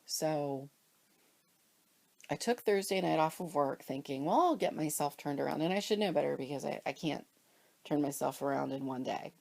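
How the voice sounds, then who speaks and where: Sniffly low-voiced woman chatting about stitching. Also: lively intonation, moderate pace, vocal fry, darkish tone. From Pennsylvania, US. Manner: chatty, rambling, self-deprecating. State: congested with a cold. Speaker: cross stitch vlogger. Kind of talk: casual show-and-tell chat. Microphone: camera or phone filming fabrics, speaker off screen.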